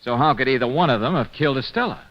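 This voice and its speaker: An actor playing a hard-boiled private detective in an old-time radio drama, sardonic and probing. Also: male voice, mid-range voice, rapid-fire, very noisy, natural voice. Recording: dull lo-fi old radio recording.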